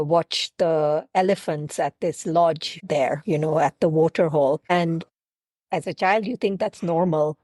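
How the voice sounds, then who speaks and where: A woman's voice: low voice, warm tone, dry recording. A woman from Kenya with an accent mixing British, American, Indian and Kenyan sounds, chatting as an interview guest who often repeats words as she starts a phrase. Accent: mixed British, American, Indian and Kenyan. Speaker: guest, travel planner. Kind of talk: casual interview chat.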